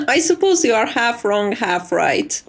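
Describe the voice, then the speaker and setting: A woman's voice: fairly high pitch, heavily acted. A woman playing a composed, strict but fond chief maid in an ASMR roleplay, speaking formally and with polite condescension.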